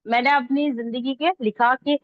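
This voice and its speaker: Female online tutor, higher-pitched voice, informal and conversational step-by-step teaching, slightly muffled lo-fi recording. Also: steady tone, normal speed, no room sound. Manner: conversational, checks that listeners follow. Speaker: instructor teaching reel editing online. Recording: dull lo-fi close dry audio.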